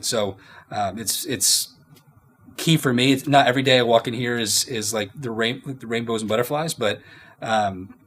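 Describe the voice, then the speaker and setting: Casual man in his thirties, halting delivery. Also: lowish voice, a bit bright, slightly rough. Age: 30s. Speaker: interview guest, company executive. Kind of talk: casual workplace interview answers.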